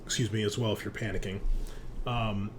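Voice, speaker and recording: A man's voice: lowish voice, little pitch movement, fairly quick, noisy background. A man running a tabletop game, narrating and giving rulings off the cuff as game master.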